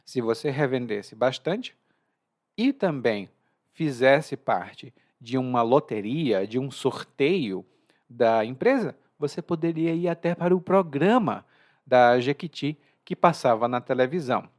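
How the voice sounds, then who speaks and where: Lively Brazilian man teaching Portuguese. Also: mid-range voice. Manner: chatty and expressive, slows down to stress words. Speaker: language teacher and podcast host. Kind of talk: explanatory podcast lesson.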